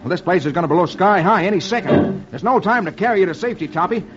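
Guy doing a heroic cowboy, hearty n brisk, highish voice, muffled old radio western. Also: lively intonation, music in the background. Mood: urgent. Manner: urgent, hearty. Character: brisk heroic singing-cowboy hero, calm under danger.

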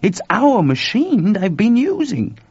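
A man voicing an urgent, excitable and worried male computer engineer in an audiobook story, speaking colloquially. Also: high-pitched, slow, slightly rough, dry recording, voice pushed up, exaggerated voice. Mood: worried, pressing. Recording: dull lo-fi dry recording.

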